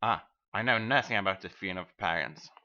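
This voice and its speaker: Man acting a pompous low-voiced selfish rich fellow. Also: a little fast, bright, forceful tone, dull audio, exaggerated voice. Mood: haughty. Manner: pompous, emphatic. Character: pompous, selfish, self-satisfied male water rat and rich miller. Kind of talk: acted reading of a fairy tale.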